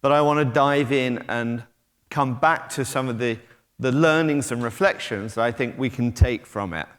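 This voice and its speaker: A man's voice: medium pitch, moderate pace. A middle-aged British man speaking at a conference, talking conversationally with frequent ums and restarts as he walks the stage. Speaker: conference speaker, technology company head. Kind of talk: conference keynote talk. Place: conference hall. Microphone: stage mic, moving about while talking. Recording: long hall reverb.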